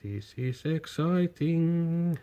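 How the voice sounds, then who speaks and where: Slow-talking Finnish man unboxing toys. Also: a little high, expressive, warm tone, slight background noise. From Finland. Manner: hesitant, repeats words, halting non-native English. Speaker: toy unboxing vlogger. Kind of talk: casual unboxing commentary.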